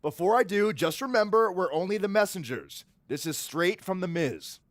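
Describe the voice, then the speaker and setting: Actress as measured wrestling show presenter, woman. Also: on the low side, animated, no echo, clean studio sound, natural voice. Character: matter-of-fact wrestling show interviewer. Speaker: show co-host. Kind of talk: scripted video game cutscene acting.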